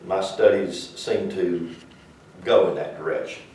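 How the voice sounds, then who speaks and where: A man's voice: low voice, unhurried, a little mellow, a little hiss or hum. An older man with a Southern US accent, teaching a church class in a rambling, folksy, conversational way. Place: small church classroom, indoors. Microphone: far camera. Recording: echoey room from far off.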